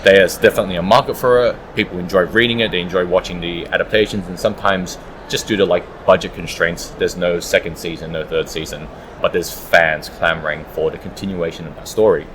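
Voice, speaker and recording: Interview guest, male, low voice, conversational, some background room noise. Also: fairly even intonation, brisk. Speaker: audiobook producer, interview guest.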